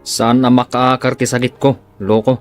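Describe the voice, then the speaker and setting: A man's voice: medium pitch, little pitch movement, normal speed, faint music behind, natural voice. A man with an Ilocano accent playing a bitter, snappish sick young man in a radio drama. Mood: snappish, sarcastic.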